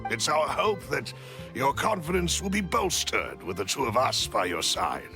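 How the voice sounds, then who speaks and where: A man's voice: medium pitch, very animated, moderate pace, gravelly, pushed and bright, faint music behind, exaggerated voice. A man playing a loyal, deferential bodyguard in a video game, formal and courteous in speech. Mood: respectful.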